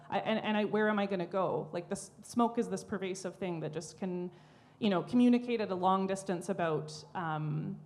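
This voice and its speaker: A woman artist speaking as a guest at a live panel, thoughtful and searching for her words as she reflects. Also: mid-range voice. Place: public library event room.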